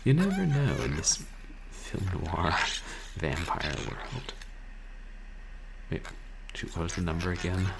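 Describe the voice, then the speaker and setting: Guy streaming a game, low creaky voice, dry musing asides, noisy background. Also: huge pitch swings, slow. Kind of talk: casual play-along commentary. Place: small room indoors. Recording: noticeable background noise.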